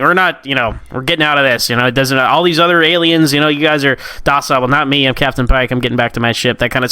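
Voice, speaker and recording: A man with a bright, forceful voice chats casually as a podcast co-host. He sounds clear and close in a dry recording, and he rambles in an animated way as he thinks aloud. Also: mid-range voice, dry recording. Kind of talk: casual comic book discussion.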